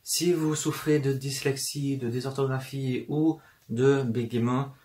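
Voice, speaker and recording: A man's voice: mid-range voice, steady tone, measured pace, darkish tone. Hesitant young man with a southern French accent. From southern France. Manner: hesitant, frequent fillers and restarts; stutters at times. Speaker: book vlogger. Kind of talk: personal vlog to camera. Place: room full of books, indoors.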